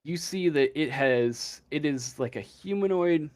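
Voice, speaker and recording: Slow, halting male game master. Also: a little high, darkish tone. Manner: halting, searching for words. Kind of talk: improvised tabletop game narration.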